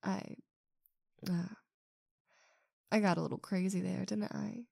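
A woman playing a possessive but affectionate girlfriend in an intimate roleplay audio, with a breathy, drawn-out delivery. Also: mid-range voice, steady tone, darkish tone, no echo, clean studio sound. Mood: sheepish, affectionate.

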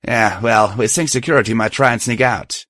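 A man voicing a glowering male pony gang leader who speaks in a brisk, demanding manner, in an audiobook. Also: medium pitch, fairly bright tone, no room sound, clean studio sound, lightly acted. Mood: suspicious.

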